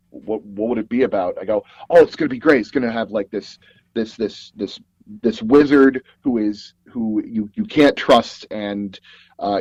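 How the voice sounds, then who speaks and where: Male interview guest, mellow and relaxed tone, casual storyteller who acts out quoted exchanges, close dry but slightly dull recording. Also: mid-range voice, dry recording. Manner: animated retelling, acting out quoted dialogue. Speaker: interview guest, audio drama producer and director.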